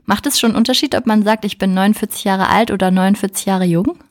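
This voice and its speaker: A woman's voice: mid-range voice, fast talker. A woman in her early thirties hosting a podcast, chatting casually and laughing often as she asks reflective questions. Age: 30s. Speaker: podcast host.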